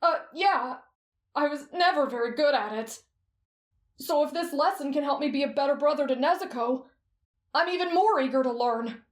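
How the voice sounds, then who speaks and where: A woman's voice: sits high, normal speed, lightly acted. A woman voicing an earnest, polite, kind-hearted teenage boy. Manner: sincere and polite. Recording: roomy echo.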